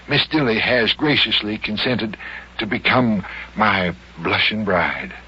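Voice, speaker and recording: An actor playing a jovial old small-town mayor in love, with folksy, flustered, flowery talk in an old-time radio comedy. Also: male voice, lowish voice, expressive, slow, pushed and bright, breathy edge, exaggerated voice. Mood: giddy, doting. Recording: muffled old radio show recording.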